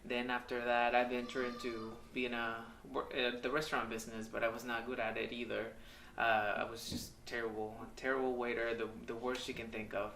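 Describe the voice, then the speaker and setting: A man in his thirties from Mexico, telling his life story in a candid, hesitant way with many pauses and ums. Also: mid-range voice, flat delivery, vocal fry, bright, forceful tone, a little hiss or hum. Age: 30s. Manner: hesitant, halting, with frequent ums and uhs. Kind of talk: candid personal testimonial. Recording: echoey room, mic at a distance.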